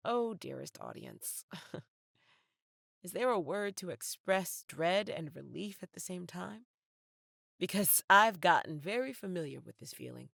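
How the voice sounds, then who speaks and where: An actress playing a wry, candid young theater graduate in a dramatic first-person audio play monologue addressed to the audience. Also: female voice, lowish voice, animated, a little slow, gravelly, long pauses, a bit bright, dry recording, clean studio sound, full character voice. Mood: wry, weary. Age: young adult. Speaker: actor narrating an audio play.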